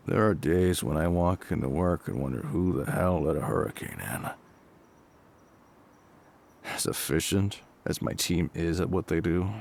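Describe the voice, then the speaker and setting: A male actor plays a kindly, gruff fire captain in middle age, speaking close and reassuringly in an audio roleplay. The voice is husky and heavily gravelled, with lively rises and falls. He can turn a little awkward and hesitant, yet stays protective. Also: sits low, full character voice. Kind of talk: intimate audio roleplay acting.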